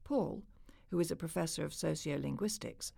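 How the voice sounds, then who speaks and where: A woman's voice: deep voice, normal speed, creaky, mellow, slightly rough, no room sound. A woman, reading a scripted documentary narration.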